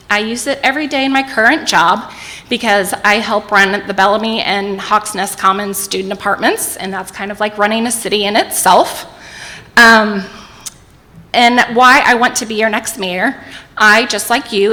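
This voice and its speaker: A woman's voice: mid-range voice, steady tone, normal speed, sharp tone, in a large hall. A woman in her forties from Indiana, speaking as a mayoral candidate, personal and emphatic. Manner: personal, emphatic, repeating for effect. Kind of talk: opening statement at a public forum.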